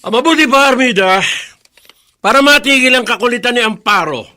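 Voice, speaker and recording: Mocking radio drama schemer, very high pitch, sharp piercing tone. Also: male voice, brisk, exaggerated voice. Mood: smug mocking. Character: sneering, mocking male ally of a hostile aunt.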